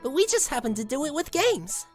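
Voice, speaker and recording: A woman voicing a blunt, breezy, self-assured young pony girl in an animated drama. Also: high-pitched, brisk, loud music behind the voice. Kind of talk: animated audio drama acting.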